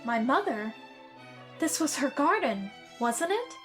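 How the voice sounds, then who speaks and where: A woman plays a proud young boy, the little master of the house, in an audio drama. The voice is high and the delivery formal, emphatic and bossy, with faint music behind. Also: sing-song, slow pace, full character voice. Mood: commanding, curious.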